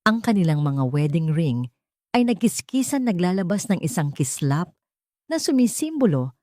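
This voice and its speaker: A woman narrating a scripted romantic drama story in a deliberate, sentimental way. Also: lowish voice, big pitch swings, warm tone, no echo, studio quality.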